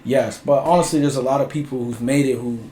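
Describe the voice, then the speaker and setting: A man's voice: mid-range voice, steady tone, quick pace, mellow. Man, music producer guest, casual rambling talk, lots of filler phrases, roomy echo. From Bridgeport, Connecticut. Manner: laid-back, rambling, heavy use of filler phrases. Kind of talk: casual interview chat. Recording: roomy echo, faint ambient noise.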